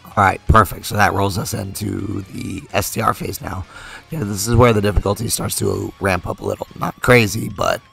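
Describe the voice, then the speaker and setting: A young man with a low, gravelly voice talks through a mobile game as he plays it, thinking aloud in a relaxed way. He is slowly losing his voice from being a little sick, and game music plays faintly behind him. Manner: relaxed, thinks aloud with fillers while playing. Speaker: mobile game video creator. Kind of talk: casual gameplay commentary.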